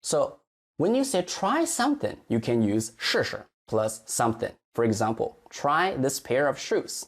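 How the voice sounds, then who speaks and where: A man with a Chinese accent, a native Chinese teacher explaining pronunciation in a lesson, carefully modeling words slowly and stretching syllables. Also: mid-range voice, sing-song. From mainland China.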